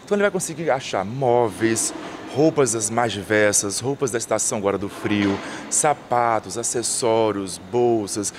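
A man's voice: fairly low pitch, moderate pace, noisy background. A man in his thirties, an event coordinator, answers interview questions outdoors into a handheld mic. He lists items fluently, with frequent restarts. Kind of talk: on-camera interview. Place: outdoors by a painted mural wall. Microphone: handheld reporter mic.

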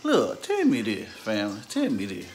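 A man's voice: on the low side, huge pitch swings, normal speed, slight background noise. Laid-back man hosting a family cooking vlog. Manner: laid-back, joking, addresses viewers as family. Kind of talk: casual family vlog chat.

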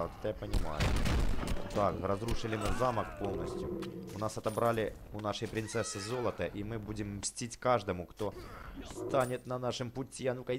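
A man giving excited, chatty game commentary for children, exclaiming, repeating words and addressing his viewers. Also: fairly low pitch, background noise. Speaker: gaming channel host.

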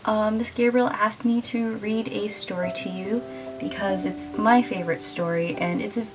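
A woman's voice: medium pitch, low-quality recording. A woman in her twenties doing a casual children's picture-book read-aloud in a small room. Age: 20s.

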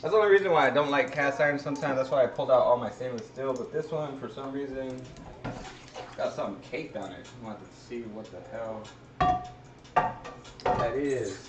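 A man in his thirties chatting casually as he hosts a home cooking show, informal and halting as he thinks aloud. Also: medium pitch, muffled recording, over loud music. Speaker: host of a home cooking livestream. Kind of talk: casual chat while cooking. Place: home kitchen, indoors. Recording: echoey kitchen, noisy with sizzling pan.

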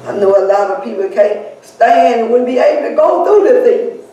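A woman leading a fervent spoken prayer, saying hallelujah between phrases and sometimes rising to shouts. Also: fairly high pitch, lively intonation, moderate pace, muffled recording. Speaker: prayer leader. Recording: very echoey room, distant mic, faint ambience.

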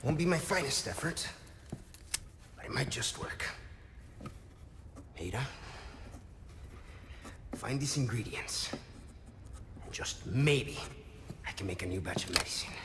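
Anguished scientist, echoing hall. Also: male voice, medium pitch. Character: anguished, remorseful male scientist seeking to make things right. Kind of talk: dramatic video game cutscene acting. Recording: reverberant hall with noisy ambience.

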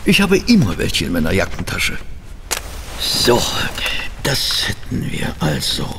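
Amazed detective's companion, expressive radio play delivery, fry in the voice, swooping intonation. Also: male voice, low-pitched, a little slow, a little hiss or hum, full character voice. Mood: earnest storytelling. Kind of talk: radio play acting with narration.

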